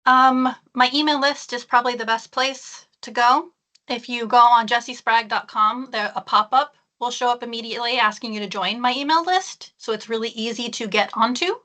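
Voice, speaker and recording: A woman's voice: on the high side, normal speed, sharp tone. A woman author, reading her own fiction aloud or chatting about it as a guest.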